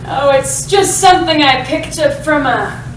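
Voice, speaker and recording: A woman's voice: high-pitched, a little slow. A woman playing a sinister, seductive lunch lady in a comic staged reading, speaking with an insinuating delivery. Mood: falsely sweet. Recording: echoey noisy room from far off.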